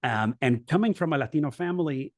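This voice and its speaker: A man's voice: on the high side, lively intonation, a little fast, no room sound. Earnest man telling his story, dry sound. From Los Angeles, USA. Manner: earnest, reflective, with frequent restarts and "you know". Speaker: guest, coach and former professor. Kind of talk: conversational interview storytelling.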